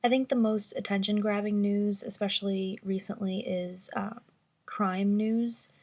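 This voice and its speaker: Woman around twenty, flat monotone, vocal fry, thoughtful pauses. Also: medium pitch. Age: 20s. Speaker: survey respondent. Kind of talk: casual interview answers.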